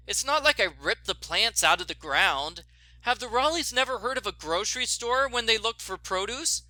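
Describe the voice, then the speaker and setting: A man's voice: very high voice, pushed and bright, dry recording, pitched above the performer's own voice, full character voice. A man voicing an indignant, exasperated young female detective who complains sarcastically in a story reading.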